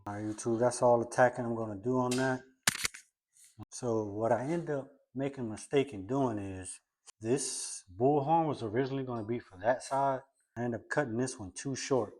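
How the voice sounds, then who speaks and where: A man's voice: mid-range voice, normal speed, a little mellow. Male DIY car-channel host, mid-range voice, informal walk-through delivery while working. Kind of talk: casual how-to vlog narration while working.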